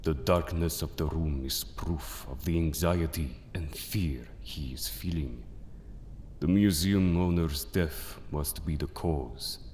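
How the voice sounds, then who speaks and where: A man playing a grave, merciless mystic judge in an audio drama, speaking in an ominous, deliberate manner. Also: a bass voice, a little mellow, slight background noise. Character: solemn, merciless mystic judge passing sentence on souls. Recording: long cavernous reverb with music behind. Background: music.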